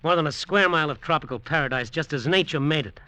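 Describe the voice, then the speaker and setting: An actor playing a scheming, embittered hustler in an old radio drama, talking in hard-boiled slang. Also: male voice, fairly high pitch, fast talker, bright, forceful tone, no room sound. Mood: eager, pitching. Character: scheming, embittered hustler narrating his island venture. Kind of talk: 1940s-50s radio drama acting and first-person narration. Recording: old radio broadcast recording, music behind.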